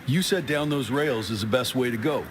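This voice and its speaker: An actor playing a gruff, short-tempered American vampire hunter in a video game, blunt, sardonic and foul-mouthed. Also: male voice, medium pitch, moderate pace, in a large hall, noisy background, close to an everyday voice. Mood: wry, gruff. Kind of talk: video game cutscene acting.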